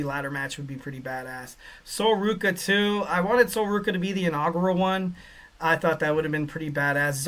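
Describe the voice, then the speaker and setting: Man, young, podcast host, rambling, filler-heavy, slightly high voice. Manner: rambling, many fillers, excitable bursts. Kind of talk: casual solo podcast talk reading listener chat.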